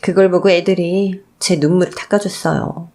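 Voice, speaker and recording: A woman's voice: fairly low pitch, fairly even intonation, moderate pace, mellow. A woman reading a scripted first-person family story as a radio-style narrator.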